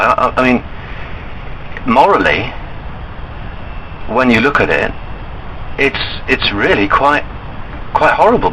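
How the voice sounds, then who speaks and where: A man calling an enquiry line and arguing his points in an earnest, insistent, halting way. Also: medium pitch, sharp tone. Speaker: caller to a bank enquiry line. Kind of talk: argumentative phone discussion. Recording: recorded phone call with background noise.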